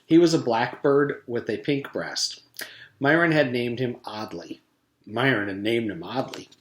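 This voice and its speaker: A man's voice: mid-range voice, unhurried, a little hiss or hum. A man, a teacher, reading a children's storybook aloud to his students. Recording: echoey room.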